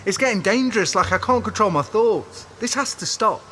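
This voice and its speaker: A man's voice: high-pitched, expressive, fairly quick, bright, forceful tone, slight background noise. A man playing a hurt, sensitive but stubborn boyfriend in an audio drama, earnest and emotional. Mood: hurt, resolute. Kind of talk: audio drama acting, intimate dialogue. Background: music.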